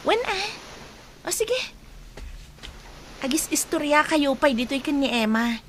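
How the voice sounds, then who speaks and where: A woman's voice: high voice, very animated, unhurried, fairly bright tone, slight background noise, exaggerated voice. An actress playing a young woman, a reassuring and teasing friend, with a Filipino accent, in an audio drama. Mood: friendly. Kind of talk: Ilocano-language audio drama acting.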